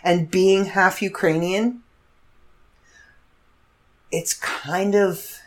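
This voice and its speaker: A woman's voice: fairly low pitch, fairly even intonation, very slow. A congested woman in her fifties, hosting a casual solo podcast and rambling through stories with self-corrections. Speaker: podcast host.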